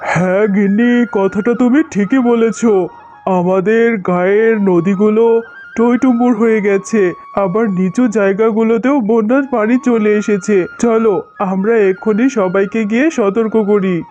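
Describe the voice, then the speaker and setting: A man's voice: high voice, steady tone, moderate pace, darkish tone, soft background music, natural voice. A man voicing a caring, resolute jackal husband and father in a cartoon, speaking earnestly and reassuringly. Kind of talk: cartoon dialogue.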